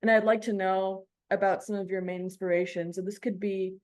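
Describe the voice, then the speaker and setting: A woman radio DJ putting prepared interview questions in a measured, articulate way with formal wording. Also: medium pitch, little pitch movement, darkish tone.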